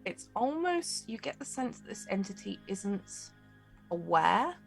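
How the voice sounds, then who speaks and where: A woman acts as game master, describing scenes and calling for rolls in a tabletop game. Her medium-pitched voice has a gravelly creak, and soft music plays underneath. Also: very animated, a little slow, low-quality recording. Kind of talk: narrating a tabletop role-playing game.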